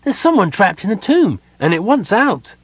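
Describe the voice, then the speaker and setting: A man voicing an excited young kender boy who shouts eagerly. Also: high-pitched, normal speed, breathy edge, no echo, pitched above the performer's own voice, heavily acted.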